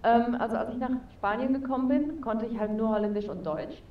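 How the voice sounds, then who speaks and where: A woman's voice: on the high side, fairly quick, echoey room, distant mic, muffled recording, a little hiss or hum. A young woman chatting as an interview guest over a video call with a headset, talking casually with lots of fillers. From Spain. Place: home room with neon sign, indoors.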